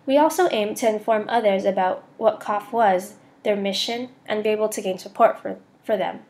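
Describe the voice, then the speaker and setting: A woman's voice: medium pitch, normal speed, a little mellow. A young woman student reading a scripted voice-over for a slide presentation. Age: young adult.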